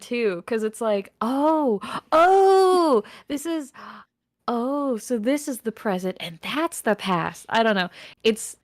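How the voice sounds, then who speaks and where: A woman's voice: a little high, no echo, clean studio sound. A woman chatting casually as a voice actor on a panel, telling things with exclamations and slang. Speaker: audio drama voice actor on a panel. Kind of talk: casual chat.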